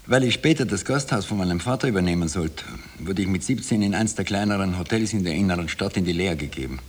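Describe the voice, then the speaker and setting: An actor in a 1960 radio drama playing a bitter Viennese waiter who talks in a colloquial, confiding way. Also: male voice, deep voice, fast. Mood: weary, confiding. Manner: colloquial confiding narration, obliging when serving. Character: bitter Viennese waiter recalling his life to a woman.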